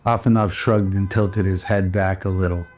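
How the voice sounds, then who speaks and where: A man's voice: low-pitched, steady tone, normal speed, darkish tone. A man narrating a scripted radio drama in a pulp noir storytelling style. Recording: music under the voice.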